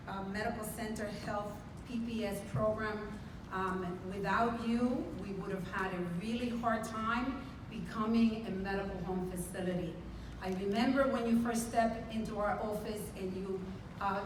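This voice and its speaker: A woman's voice: a little high, distant mic, noisy background. Woman speaking in an echoey hall. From Dominican Republic. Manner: earnest and heartfelt, speaks with pride. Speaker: medical center CEO. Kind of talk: panel speech at a conference. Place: large conference hall.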